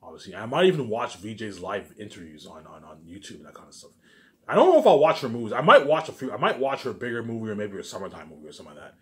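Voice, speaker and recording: A man's voice: mid-range voice, big pitch swings, racing. A man giving a rambling, informal monologue, repeating himself emphatically, swearing and restarting his phrases. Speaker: vlogger.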